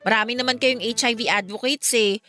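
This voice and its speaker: A woman's voice: a little high, steady tone, brisk, pushed and bright. A woman playing a breezy, carefree friend in a Filipino radio drama. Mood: breezy, casual. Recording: music bed under the dialogue. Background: music.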